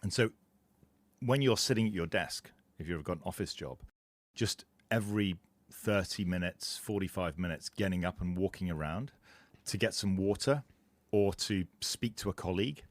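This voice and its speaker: A man's voice: low-pitched, moderate pace, no room sound, studio quality. A man co-hosting a casual fitness podcast, explaining points at length and laughing at his own asides. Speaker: podcast co-host.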